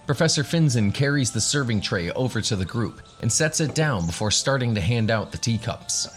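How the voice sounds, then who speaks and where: A man's voice: medium pitch, animated, fairly quick, soft background music. A man narrating a tabletop fantasy radio story as game master, in a scripted storytelling style.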